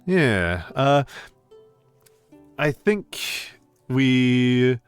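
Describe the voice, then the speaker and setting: A middle-aged American man chatting casually with stream viewers, pausing often with fillers and restarts. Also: mid-range voice, big pitch swings, smooth, no echo, faint music behind. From United States. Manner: hesitant, many fillers and restarts. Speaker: VTuber streamer.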